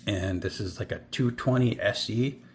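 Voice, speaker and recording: A man's voice: on the low side, normal speed, a little hiss or hum. A man with a northern US accent, talking through his toy car collection in a rambling, offhand way, often correcting himself mid-sentence. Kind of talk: casual show-and-tell.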